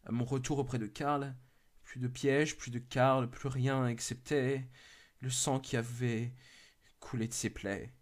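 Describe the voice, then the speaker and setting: A man's voice: medium pitch, steady tone, unhurried, natural voice. A man playing an urgent, frightened member of a search party making radio reports in a horror drama. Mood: grave, reporting. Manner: urgent, whispering, breaking into screams and sobs. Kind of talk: dramatised horror story acting.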